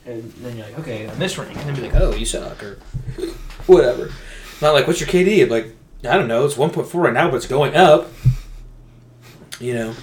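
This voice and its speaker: A man's voice: mid-range voice, expressive, normal speed, long pauses, a little hiss or hum. A man chatting casually as a gaming podcast co-host, rambling and venting emphatically in slangy language.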